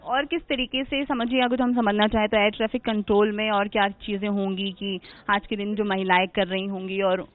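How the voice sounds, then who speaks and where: A woman's voice: medium pitch, little pitch movement, moderate pace, hardly any pauses. A woman news reporter presenting from the scene and questioning a guest in a formal, emphatic way. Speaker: field reporter. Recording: narrow phone-like audio.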